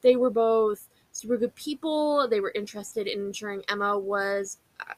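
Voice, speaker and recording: A woman's voice: mid-range voice, little pitch movement, moderate pace, no room sound. A young woman hosting a history podcast, telling the story in a casual, chatty way, full of fillers and wry asides.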